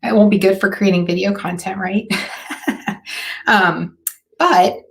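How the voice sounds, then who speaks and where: A woman hosting a live marketing stream, her voice hoarse and raspy, talking in a chatty way with many fillers. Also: medium pitch, fairly even intonation, slow pace. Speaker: host.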